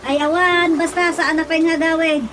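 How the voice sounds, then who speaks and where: Casting: Ilocano mother, high-pitched, coaxing and brisk-mannered, radio drama over music. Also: fairly even intonation. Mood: gently coaxing. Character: busy, coaxing mother making breakfast.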